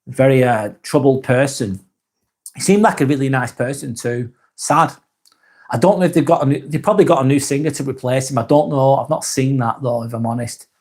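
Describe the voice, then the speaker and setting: A man from Lancashire with a Lancashire accent, hosting a casual live stream and reading viewer comments aloud in a soft, hesitant way. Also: mid-range voice, brisk. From Lancashire, England.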